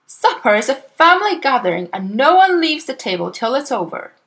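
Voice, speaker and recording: A woman voicing a boastful, crooked male car dealer who talks loudly and smugly. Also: high voice, very animated, fairly quick, voice pushed up, heavily acted. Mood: angry. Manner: blustering and smug, loud.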